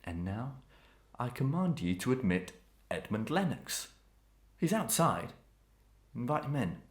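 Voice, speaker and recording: A man's voice: mid-range voice, big pitch swings, measured pace, echoey room. A man playing a tormented, menacing murderer husband in a Victorian melodrama, declaiming grandly and commandingly in archaic English. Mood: commanding, bitter. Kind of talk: old-fashioned melodramatic stage acting.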